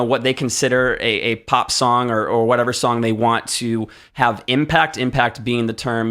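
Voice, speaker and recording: Bright-voiced man hosting a talk show. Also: mid-range voice. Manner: emphatic, explanatory, often checking with "right?". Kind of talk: conversational music industry commentary.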